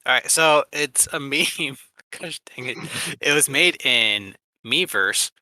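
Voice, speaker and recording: A man co-hosts a casual podcast in a very bright, forward voice. He speaks slowly and haltingly, sounding easily amused. The recording is close and dry. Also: mid-range voice, animated, no echo. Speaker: podcast co-host. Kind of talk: casual chat.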